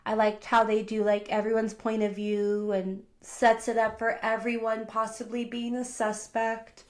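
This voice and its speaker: Woman, podcast host, fairly high-pitched, congested, chatty, gossipy recap, lots of "like". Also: monotone, a little slow. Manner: chatty, heavy use of "like", gossipy retelling. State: congested from a cold. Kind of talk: casual pop culture recap.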